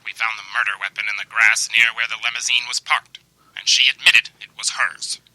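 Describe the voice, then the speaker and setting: An actor playing a blunt, businesslike police detective with a husky voice, in an old time radio mystery. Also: male voice, lowish voice, fairly even intonation, brisk, bright, forceful tone, no room sound, low-quality recording. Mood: matter-of-fact. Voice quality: husky, raspy.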